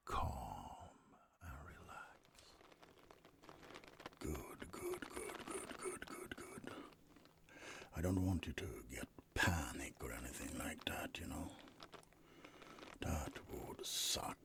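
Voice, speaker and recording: Man playing a low-voiced, murmuring suave vampire. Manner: soft, slow, breathy murmuring with dramatic pauses. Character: suave, teasing male vampire at a bedside. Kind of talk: ASMR roleplay, often whispered.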